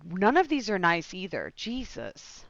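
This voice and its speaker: A woman's voice: medium pitch, wildly swooping pitch, a little slow, gravelly, a bit bright, dry recording, lo-fi sound. A woman streaming a video game with casual, slangy, sweary reaction commentary.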